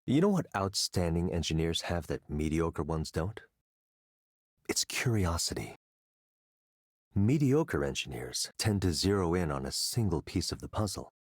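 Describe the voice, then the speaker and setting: Deep, husky, persuasive executive. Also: male voice, sing-song, no room sound, clean studio sound, exaggerated voice. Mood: persuasive, assured. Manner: measured, presumptuous, persuasive. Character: smooth-talking, persuasive tech company boss. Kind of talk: scripted visual novel voice acting.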